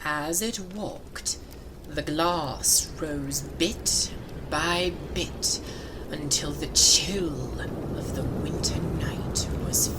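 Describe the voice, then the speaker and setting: Hushed male storyteller. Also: on the high side, very slow, noisy background. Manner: hushed, half-whispered narration. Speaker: narrator. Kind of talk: storybook reading. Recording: echoey room with long reverb.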